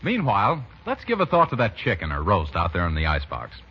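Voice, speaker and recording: A man's voice: lowish voice, wildly swooping pitch, a little fast, slightly rough. A man announcing a sponsor message in 1940s radio style. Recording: muffled old radio, crowd and hiss.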